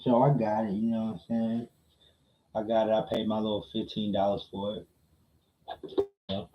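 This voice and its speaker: A man with a fairly low voice tells a casual story to his livestream chat. He takes his time, using slang and repeating phrases to drive points home. The sound is slightly dull, with clear room echo. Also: monotone, moderate pace. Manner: slangy, repeats phrases for emphasis with frequent tag questions. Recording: muffled, roomy echo.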